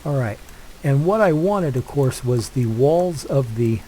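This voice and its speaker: A man's voice: medium pitch, animated, measured pace, warm tone, a little hiss or hum, poor audio. Congested older man rambling through gameplay. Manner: rambling, many fillers and restarts. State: congested from a head cold. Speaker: let's play host. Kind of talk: casual gameplay commentary.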